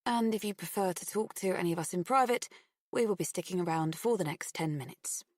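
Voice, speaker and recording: A woman voicing a patient, matter-of-fact female police officer in an audiobook, official and brisk. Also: fairly low pitch, dry recording, clean studio sound, voice pushed up, lightly acted. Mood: businesslike.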